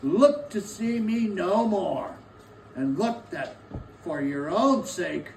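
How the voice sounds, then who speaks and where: Grave spectre played by a man, fairly high voice, solemn warnings, stage reading from far off in an echoey hall. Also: lively intonation, gravelly, darkish tone, full character voice. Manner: slow, solemn, portentous. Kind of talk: theatrical dramatic reading. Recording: distant echoey room, faint noise.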